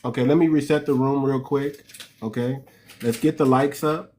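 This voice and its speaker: A man's voice: mid-range voice, steady tone, moderate pace, warm tone, slight background noise. A man hosting a live call-in talk room, plain-spoken, moving from plugging merch to preaching. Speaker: host.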